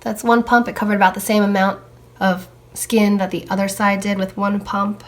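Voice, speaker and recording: A young woman's casual makeup review, her voice a little froggy and hoarse as she gets over a cold. She talks easily while she tries out products. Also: mid-range voice, level tone, normal speed. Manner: chatty, talking while applying makeup. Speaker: beauty vlogger.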